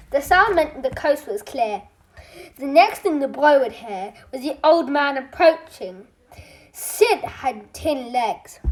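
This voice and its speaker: A high-pitched reader tells a children's story aloud. The delivery is slow and halting, with small stumbles that get corrected along the way. It is scripted storybook narration. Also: low-quality recording.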